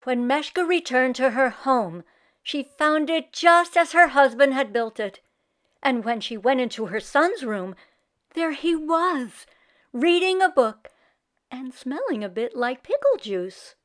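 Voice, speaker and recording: A woman's voice: high-pitched, expressive, measured pace. A woman reading a children's story aloud as host, expressively, and addressing young listeners directly.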